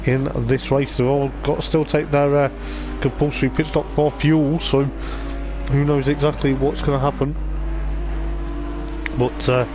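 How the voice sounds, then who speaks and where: A man with a voice going hoarse, giving rambling live race commentary on a stream, with lots of hesitant fillers. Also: fairly high pitch, little pitch movement, measured pace, music in the background. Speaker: sim racing league commentator.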